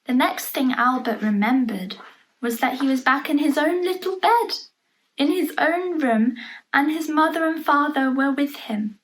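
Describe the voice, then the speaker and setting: A woman reads a picture book aloud for children in a high voice. She takes her time, with a very slow, expressive storytelling delivery, and pauses to point out what is in the pictures. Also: rough recording. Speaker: storybook reader.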